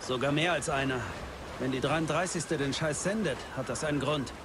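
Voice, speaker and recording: An actor playing an edgy, outspoken young-sounding squad soldier in a dubbed video game. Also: male voice, a little high, normal speed, background noise, close to an everyday voice. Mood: wry.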